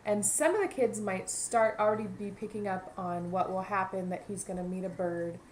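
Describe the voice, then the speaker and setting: A woman's voice: medium pitch, moderate pace, darkish tone, a little hiss or hum, poor audio. A woman, a kindergarten teacher, reading a children's story aloud and adding teaching asides.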